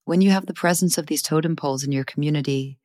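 A woman's voice: deep voice, quick pace, warm tone, no echo, clean studio sound. A woman hosting an interview, speaking in earnest, searching, long winding sentences. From Sweden or Switzerland. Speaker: host, interviewer. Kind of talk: reflective interview talk.

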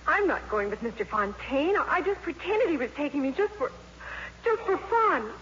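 A woman's voice: high voice, sing-song, moderate pace, fairly bright tone. An actress playing a dreamy, proud teenage schoolgirl with gushing, girlish talk, in 1950s radio style. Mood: forced breeziness. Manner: breathlessly gushing, girlish slang. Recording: muffled, noisy old radio recording.

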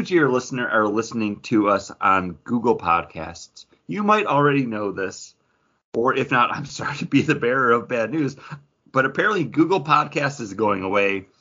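Guy doing casual podcast banter, bright and really animated, jokey, kinda muffled audio. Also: mid-range voice. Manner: chatty, joking. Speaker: podcast host.

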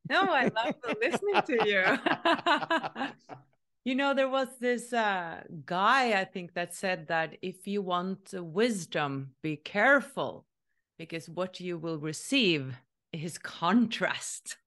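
A woman's voice: medium pitch, expressive, slow, a bit bright. A woman hosting an interview, speaking thoughtfully in casual chat and laughing often. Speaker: interview host.

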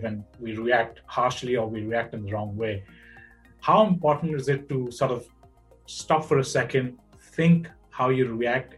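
A male podcast host asks long, winding questions in a conversational tone, often checking in with "right?". His pitch is ordinary, and soft music hums faintly behind him. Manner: rambling questions, tags with "right?" and "you know". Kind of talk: casual interview conversation.

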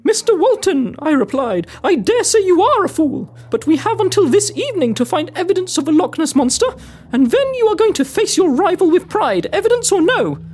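A woman's voice: extremely high pitch, big pitch swings, fairly quick, a little mellow. A woman playing a haughty, indomitable older Victorian lady adventurer with a pompous, dramatic manner in a comic period drama. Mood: resolute. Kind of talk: spoken logbook narration, comic period drama.

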